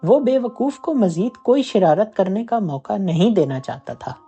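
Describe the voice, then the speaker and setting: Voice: medium pitch, lively intonation, a little slow, mellow, breathy edge, dull audio. A narrator reading a scripted moral tale as a storyteller.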